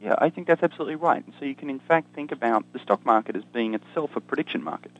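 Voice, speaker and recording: A man's voice: medium pitch, lively intonation, rapid-fire, fairly bright tone, dull audio. An Australian man, an economist guest, explaining ideas in conversation with dry humor and frequent ums. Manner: dry self-deprecating humor, many ums and restarts.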